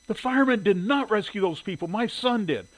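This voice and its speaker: A fiercely protective mother from a storybook, acted out by a male narrator. The voice sits high and pushes forward with blunt, indignant demands. This is a working-class woman with a temper, who does not back down. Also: lively intonation, unhurried, dry recording, rough recording. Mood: angry, indignant. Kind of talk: audiobook character dialogue.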